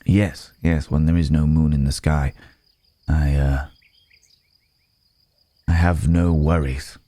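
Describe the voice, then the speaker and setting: A man's voice: a bass voice, little pitch movement, slow, warm tone, dry recording. A man playing a weary, courteous cursed werewolf in an ASMR roleplay, speaking in formal, old-fashioned phrases with pensive hesitation.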